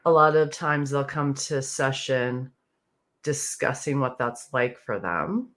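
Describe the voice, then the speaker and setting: A woman therapist co-hosts a podcast in a casual, joking tone. Her voice sits deeper than usual, and her delivery is quick-witted and wry. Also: fairly bright tone.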